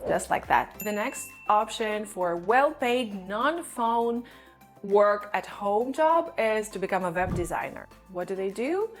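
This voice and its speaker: A woman with a fairly high voice addresses viewers as an online video host. She is a non-native English speaker and explains things in a direct, instructive manner. Music plays behind her voice. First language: not English. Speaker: online video host, digital marketing agency owner.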